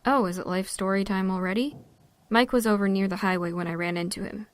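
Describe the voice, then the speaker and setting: A woman's voice: mid-range voice, fairly even intonation, brisk, no room sound, close to an everyday voice. A woman playing a wry, self-reliant park ranger in an audio drama, talking bluntly and casually.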